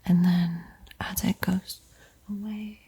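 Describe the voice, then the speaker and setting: A woman teaches in a hushed ASMR whisper, extremely airy and soft. Her delivery is slow and encouraging, with a dark, mellow tone. It sounds like a calm step-by-step tutorial for beginners. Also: fairly low pitch. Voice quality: breathy whisper. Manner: slow, gentle, encouraging instruction.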